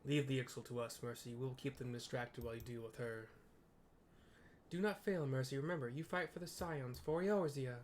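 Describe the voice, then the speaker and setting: A man's voice: mid-range voice, normal speed, a little hiss or hum, close to an everyday voice. A man voicing a resolute heroic adventurer from a fantasy game, earnest and rallying in formal speech. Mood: urgent. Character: resolute heroic adventurer allies defying a tyrant.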